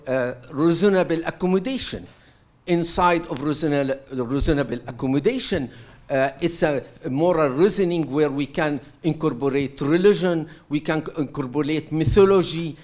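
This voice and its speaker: Hesitant middle-aged man asking a question. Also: a little high. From Syria. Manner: hesitant, many fillers and restarts, earnest. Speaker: audience member asking a question. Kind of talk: halting question from the floor.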